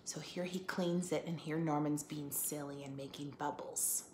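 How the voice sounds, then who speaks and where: A woman teacher reading a picture book aloud for children, expressively, pausing to put questions to her listeners. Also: deep voice, fairly even intonation. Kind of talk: animated read-aloud for children with asides and questions. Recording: echoey room, faint background noise.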